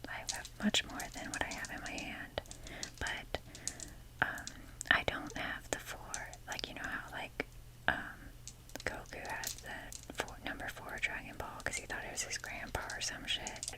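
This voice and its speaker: A woman whispering softly and breathily in a casual, chatty, self-deprecating ASMR ramble, with the odd mild swear. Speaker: ASMR video creator.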